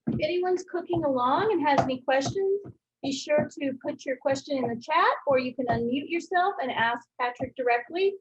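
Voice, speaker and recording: A woman's voice: high-pitched, normal speed, lo-fi sound. A woman hosting an online cooking class, speaking to participants in a conversational announcing style.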